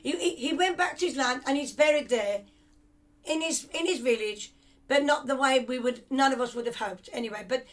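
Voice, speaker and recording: A woman's voice: high voice, normal speed. An older woman of Cypriot family raised in England, telling rambling, reflective stories of family and the island. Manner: rambling, reflective storytelling with restarts. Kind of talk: reminiscing conversation.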